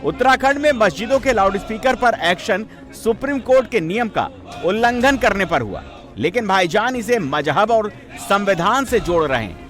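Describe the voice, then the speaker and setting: A man's voice: high voice, sing-song, moderate pace, a bit bright. A man presenting scripted TV news narration in an emphatic, sensational, dramatic way. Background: background music.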